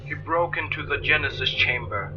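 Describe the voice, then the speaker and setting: Man acting earnest official, higher-pitched voice. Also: normal speed, highs cut off, music in the background, close to an everyday voice. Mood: grave. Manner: courteous, official, pleading. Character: formal, earnest male Castellan, official of an alien council. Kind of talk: audio drama acting.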